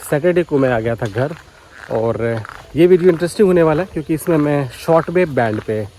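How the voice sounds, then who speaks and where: A man's voice: mid-range voice, dark tone, slight background noise, poor audio. A man giving a casual, explanatory vlog, speaking directly to viewers outdoors in a village. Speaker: vlogger. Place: outdoors in a village by paddy fields.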